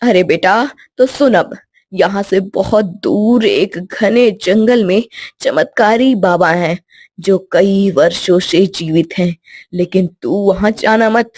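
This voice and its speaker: A woman's voice: mid-range voice, slow pace, darkish tone, husky, dry recording. Woman acting old grandmother, protective, soothing, cautioning, village storybook character.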